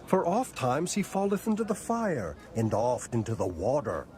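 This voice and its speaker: A man's voice: medium pitch, wildly swooping pitch, moderate pace, a little mellow. A man playing a desperate father pleading for his afflicted son in a dramatized scripture reading, imploring and emotional. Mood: anguished pleading. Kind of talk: dramatized scripture acting, archaic English.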